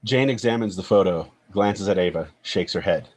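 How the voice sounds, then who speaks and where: A man narrates a screenplay, reading out scene headings and action lines. His voice is fairly low with a noticeably husky, unclear grain. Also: moderate pace, darkish tone. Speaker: narrator reading stage directions.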